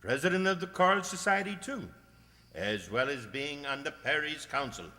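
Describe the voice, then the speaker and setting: A man's voice: on the high side, lively intonation, moderate pace, pushed and bright. A man playing a cheerful, nosy, talkative village postman with a folksy, self-satisfied manner in a radio drama. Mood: chatty, proud. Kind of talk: amateur radio drama acting. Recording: long hall-like reverb.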